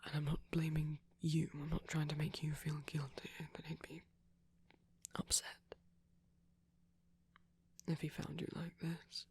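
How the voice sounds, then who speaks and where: A very breathy, whispering vampire boyfriend, played by a man, gentle and caring. The voice is husky with a creaky edge, soothing and a little self-conscious, in an intimate ASMR roleplay. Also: a little high, monotone, a little slow, long pauses, heavily acted. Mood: soft, reassuring.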